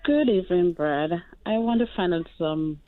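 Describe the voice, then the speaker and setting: Lowish-voiced woman on a phone line. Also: lively intonation, moderate pace. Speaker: caller asking for advice. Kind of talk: phone call question to a radio show. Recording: muffled, phone-like caller line.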